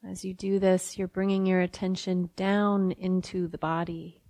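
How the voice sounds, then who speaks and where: Female meditation guide: gentle, unhurried, flat-pitched delivery in a dry, close sound. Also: no room sound. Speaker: meditation teacher.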